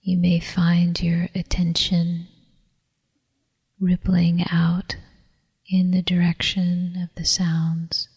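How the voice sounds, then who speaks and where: A woman leading a guided meditation in a soft, whispery, breathy voice, calm and soothing, with long unhurried pauses. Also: on the low side. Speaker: meditation teacher. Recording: long reverberant hall echo.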